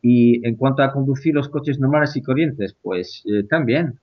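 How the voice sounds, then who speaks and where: Man guest, hesitant, lots of fillers, mid pitch, dull lo-fi audio. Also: normal speed. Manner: hesitant, many fillers and restarts. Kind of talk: casual discussion. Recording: muffled lo-fi audio.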